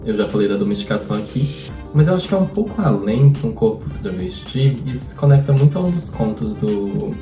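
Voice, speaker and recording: A man's voice: medium pitch, lively intonation, a little fast, mellow. A Brazilian man chatting casually about books as a host, thinking aloud and often wandering off on tangents. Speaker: host of a book discussion. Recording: roomy echo, distant mic, faint music.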